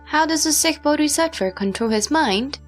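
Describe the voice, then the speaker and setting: A woman playing an earnest bodhisattva who puts formal, measured questions to a sage in a scripture audio drama. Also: sits high, animated, soft background music. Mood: earnest, inquiring.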